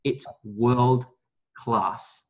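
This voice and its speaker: The sound is a little dull and lo-fi, like a recorded call. A man with a mid-pitched voice delivers a motivational business pitch. He is emphatic and blunt, selling hard and not shy of a mild curse. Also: fairly even intonation, drawn-out words. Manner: emphatic, blunt, salesy, mildly profane. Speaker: network marketing leader, guest speaker on a team call.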